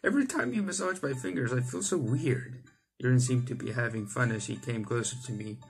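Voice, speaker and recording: A man's voice: mid-range voice, animated, brisk, gravelly. A young man hosting a gaming video, reading a visual novel aloud with casual commentary and stumbling over the odd line. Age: young adult. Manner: stumbles over text and rereads lines. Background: game music.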